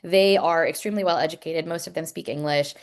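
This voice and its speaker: A lower-voiced woman talks frankly about her work as an emergency room nurse. She answers questions over a video call, earnest and unpolished, often pausing on ums and restarting her sentences. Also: steady tone. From Pakistani heritage.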